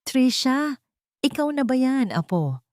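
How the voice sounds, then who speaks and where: A woman voicing an aging, fearful mechanic in his later years, his voice cracking as he speaks in a hushed, pleading way. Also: sits high, big pitch swings, slow, dry recording, clean studio sound, voice pushed up, heavily acted. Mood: shaken. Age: older. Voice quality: voice cracking with emotion.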